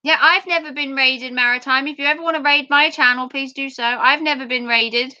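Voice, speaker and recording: A woman livestreamer chatting playfully with her viewers, her voice going a little hoarse after hours of talking. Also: high voice, steady tone, fairly quick, bright, forceful tone, no room sound, lo-fi sound. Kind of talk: casual chat with viewers.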